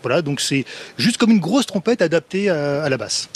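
A man's voice: on the high side, sing-song, normal speed. A man, a sousaphone player, explaining things in an interview with a hesitant, explanatory manner. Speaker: musician guest, sousaphone player. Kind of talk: casual interview answers.

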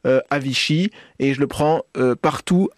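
A man from Paris with a French accent speaks as an interview guest. He is earnest and halting, doubling back on words, in a mid-pitched voice with a very dry studio sound. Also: dry recording. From Paris, France. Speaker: radio guest, amateur trail runner. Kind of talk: personal interview testimony. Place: radio studio. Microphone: studio microphone.

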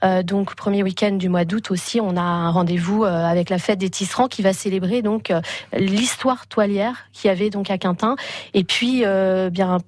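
A woman's voice: medium pitch, steady tone, moderate pace, darkish tone. A woman from Paris, a festival coordinator, talking in a radio interview with many fillers and restarts.

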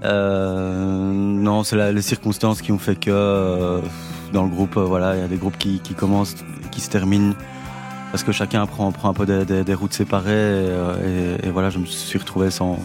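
A young male musician, a radio guest, speaking haltingly with lots of fillers. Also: low-pitched, completely flat delivery, darkish tone, faint music behind. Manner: hesitant, halting, many fillers. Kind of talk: radio interview.

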